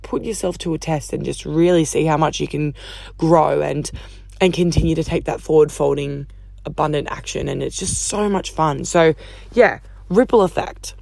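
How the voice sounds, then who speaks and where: Chatty croaky woman podcaster. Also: low-pitched, a little mellow, dry recording. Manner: chatty, upbeat, swears casually. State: croaky voice from talking all day. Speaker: podcast host. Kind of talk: casual solo podcast talk.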